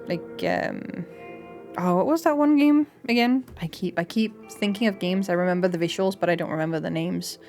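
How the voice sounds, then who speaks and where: Young Danish woman, lowish voice, mixed English accent, rambling live-stream chatter over faint music. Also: normal speed, creaky, darkish tone. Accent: light Danish, mixed English accents. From Denmark. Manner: rambling, many fillers and restarts, chatty. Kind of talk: casual chat with live chat.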